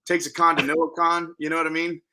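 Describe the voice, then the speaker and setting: An American man is a guest in a casual podcast chat. His voice is bright and forceful with an edge to it, and his talk is loose and informal. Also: on the high side, flat delivery, fast.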